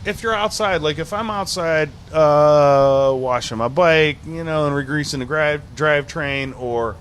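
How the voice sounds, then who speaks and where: A man's voice: fairly high pitch, measured pace, slight background noise. A man co-hosting a casual chat show, giving easygoing, rambling explanations. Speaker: podcast co-host. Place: bar, live show.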